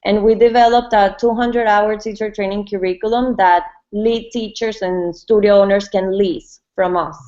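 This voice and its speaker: A woman's voice: mid-range voice, steady tone, normal speed, few pauses, warm tone. A woman from Venezuela who co-founded a yoga brand, answering interview questions in a casual way and often repeating and restarting her words. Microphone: webinar call mic. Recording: dull lo-fi webinar audio.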